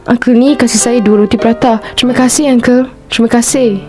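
A woman's voice: fairly high pitch, steady tone, fairly quick, lightly acted. A woman voicing a polite, sad young orphan girl in a cartoon. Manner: soft-hearted, polite. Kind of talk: cartoon voice acting.

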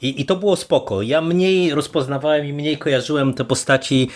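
A man's voice: on the high side, moderate pace. A man hosting a podcast, discussing and reviewing in a casual, hesitant way with many repeated words. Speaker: podcast host.

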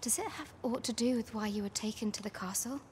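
A woman playing a caring young villager in a fantasy game, with soft, archaic formal speech. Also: on the high side, fast, a little hiss or hum, lightly acted. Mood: tender, concerned. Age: young adult. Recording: music behind the voice.

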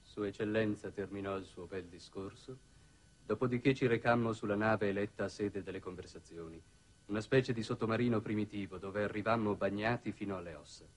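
An actor playing a grave young envoy who narrates a space mission with dramatic pauses, in an old 1960s TV drama. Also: male voice, lowish voice, close to an everyday voice. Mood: grave report. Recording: old 1960s television soundtrack.

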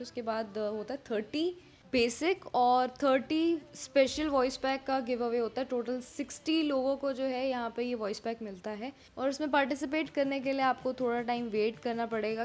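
A woman's voice: fairly high pitch, darkish tone. A woman with an Indian accent hosting a gaming channel, giving casual commentary over background music. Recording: music under the voice.

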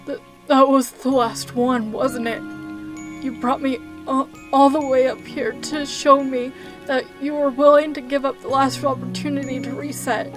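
A woman voicing a weary, emotional male skeleton who is gentle and protective, speaking haltingly with stammers. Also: high-pitched, steady tone, over music, natural voice. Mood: tearful, stunned. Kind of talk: amateur comic dub acting.

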